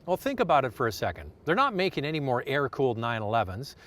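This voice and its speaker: A man's voice: medium pitch, big pitch swings, quick pace, fairly bright tone. A middle-aged man presenting a car review in an enthusiastic, conversational way. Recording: clear vehicle and outdoor background noise.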